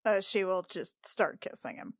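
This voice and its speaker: A woman's voice: medium pitch, animated, normal speed, no echo, clean studio sound. A woman taking part in a tabletop game as a player, casually describing what her character does. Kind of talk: casual improvised role-play.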